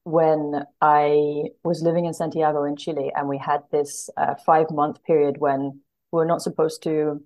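A woman's voice: low voice, flat delivery, normal speed, darkish tone, poor audio. A woman speaking casually as a guest in a podcast interview. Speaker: podcast guest, literary translator.

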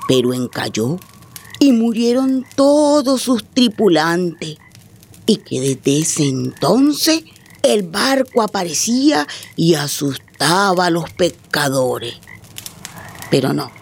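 Radio drama: elderly grandmother storyteller, husky, slow, animated, tender yet firm. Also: lowish voice, exaggerated voice. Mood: suspenseful storytelling. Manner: unhurried, dramatic oral storytelling.

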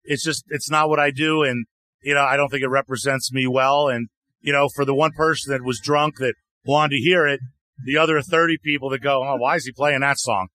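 A man, a singer-songwriter guest, answering interview questions in a relaxed, chatty way full of "you know" fillers. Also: fairly quick, dry recording. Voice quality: deeper voice. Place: outdoor music festival.

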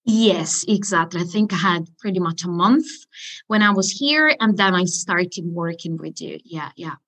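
An Italian-born woman with a mixed accent, speaking as a guest in a relaxed, conversational way. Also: fairly low pitch, moderate pace. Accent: mixed, Italian with Irish influence. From Italy. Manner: relaxed, conversational, with fillers like "you know". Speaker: guest, coaching client. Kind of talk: podcast interview.

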